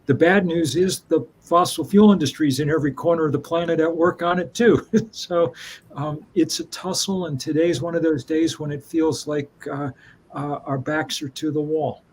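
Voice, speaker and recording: A man's voice: a little high, steady tone, normal speed, a little mellow, a little hiss or hum. A man speaking as a panelist on a video call, earnest and conversational, with restarts.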